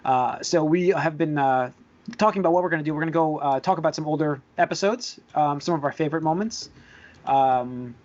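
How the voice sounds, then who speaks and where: Man, podcast host, slightly high voice. Halting, hedging, conversational delivery. Dull, lo-fi sound. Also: darkish tone. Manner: halting, hedging, repeats words. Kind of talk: casual chat about movies and shows. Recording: dull lo-fi voice recording.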